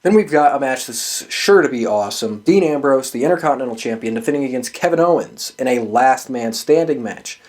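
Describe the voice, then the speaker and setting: A man's voice: medium pitch, animated, a little fast, few pauses, darkish tone. A man hosting a show, giving casual, opinionated sports commentary in an emphatic, sweary way. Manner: profane and emphatic. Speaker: host of a wrestling prediction show.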